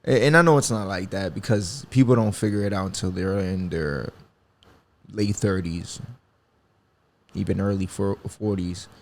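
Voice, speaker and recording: Gravelly young man rambling casually. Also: low voice, normal speed. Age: 20s. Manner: rambling, reflective, swears freely, many fillers. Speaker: podcast host. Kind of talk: casual solo podcast talk.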